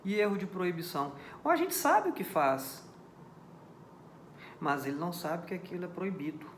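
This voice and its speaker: A man's voice: high voice, sing-song, moderate pace, echoey room, slight background noise. A Brazilian man teaching criminal law in a lecture, explaining points step by step, repeating key ideas and checking that listeners follow. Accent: Brazilian Portuguese. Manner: didactic, repeats key points, checks understanding with rhetorical questions.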